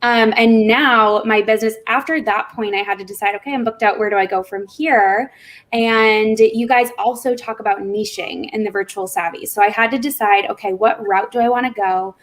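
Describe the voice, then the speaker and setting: A woman's voice: medium pitch, fairly even intonation, moderate pace. A woman business owner, a guest on a video call panel, talking in an upbeat, chatty way.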